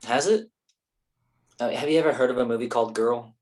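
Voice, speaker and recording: Guy acting blunt picky man in a stage drama, husky voice, natural questioning delivery. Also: medium pitch, brisk, a little mellow, natural voice. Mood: nitpicking. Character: blunt, nitpicking man quizzing a filmmaker. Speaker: actor in a staged play reading. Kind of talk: naturalistic staged play reading.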